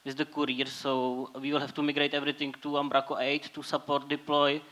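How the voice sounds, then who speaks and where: A man's voice: fairly high pitch, monotone, fairly quick, bright, forceful tone. A man in a conference audience asking an informal question. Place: audience in a large brick-walled conference hall.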